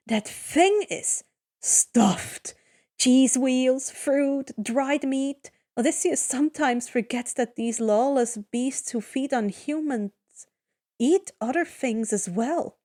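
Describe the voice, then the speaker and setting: Woman reading fanfic out loud, slowish, voice a bit high, trips over words sometimes. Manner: plain narration with small slips and restarts. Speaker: fan fiction reader. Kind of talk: reading fan fiction aloud.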